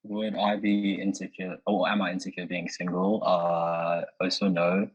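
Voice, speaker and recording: An eighteen-year-old man, a panel guest answering in a measured, thoughtful way. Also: low voice, level tone, husky. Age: teens. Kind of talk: casual group discussion. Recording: dull muffled audio.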